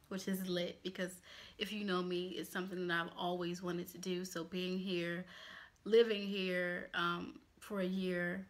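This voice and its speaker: A young woman in her twenties talks straight to the camera from a small home office, chatty and candid. Her voice is bright and forward, at an ordinary pitch for a woman. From Pennsylvania, US. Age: 20s. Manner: chatty, candid, expressive hand gestures. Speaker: YouTuber. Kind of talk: casual vlog chat to camera.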